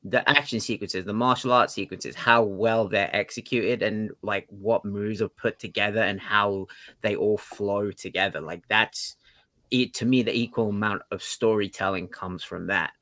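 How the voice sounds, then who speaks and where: A man's voice: lowish voice, fairly even intonation, moderate pace, pushed and bright, no echo. A man talking as a podcast panelist in a casual movie chat, explaining his views earnestly.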